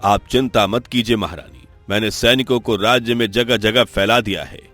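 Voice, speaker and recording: A man's voice: lowish voice, moderate pace, a bit bright, no echo, soft background music, natural voice. A man playing a concerned, commanding king and husband in a cartoon fable, speaking formally and earnestly with dramatic delivery. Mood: reassuring, concerned. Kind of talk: Hindi cartoon story dialogue.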